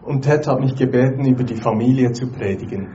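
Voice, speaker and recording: A man with a mellow, warm tone interprets a sermon live, closely following each phrase. The sound is dull and lo-fi, with a faint hiss in the background. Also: mid-range voice, a little fast.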